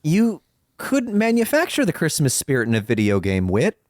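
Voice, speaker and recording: A man's voice: a little high, wildly swooping pitch, moderate pace, dry recording, studio quality. A man co-hosting a podcast in casual comedic chat, wry and jokey, slipping into imagined quotes. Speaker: podcast co-host.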